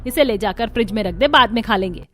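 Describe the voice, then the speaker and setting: Woman doing cartoon older mother-in-law, always scolding, highish voice. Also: quick pace, natural voice. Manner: nagging, idiom-heavy scolding.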